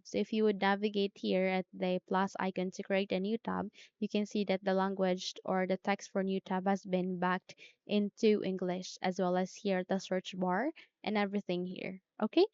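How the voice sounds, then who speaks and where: A woman narrates a screen-recorded tech tutorial, guiding the viewer step by step and often pausing with a quick "okay?". Her voice is smooth and of middle pitch. The recording is close and dry, with a slightly dull, muffled tone. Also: a little mellow, no echo. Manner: repetitive step-by-step instructions with frequent "okay?".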